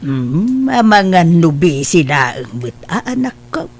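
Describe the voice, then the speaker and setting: A woman's voice: fairly low pitch, big pitch swings, slow, breathy edge, full character voice. A woman playing a fond, fretful older mother in an Ilocano audio drama. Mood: fond, concerned.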